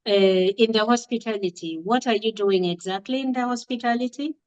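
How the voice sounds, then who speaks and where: A woman's voice: fairly high pitch, a little fast, muffled recording. A woman hosting a podcast interview, asking questions with frequent fillers and hesitations. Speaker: podcast host.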